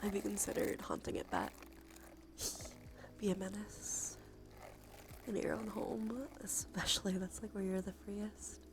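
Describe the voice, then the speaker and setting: Girl streamer doing cozy asmr chat, soft whispery n croaky, stuffy nose, laid back, bit of background noise. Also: medium pitch, little pitch movement, a little slow, pauses often. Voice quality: soft, breathy, often half-whispered. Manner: laid-back, rambling, informal with swearing. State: congested nose. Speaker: livestream host. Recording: clear background noise, ambient sound.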